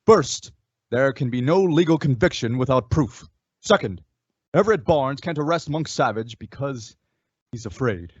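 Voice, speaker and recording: An actor playing a calm, resolute western hero in an old-time radio style, measured and instructive. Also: male voice, mid-range voice, slightly rough, dry recording, highs cut off.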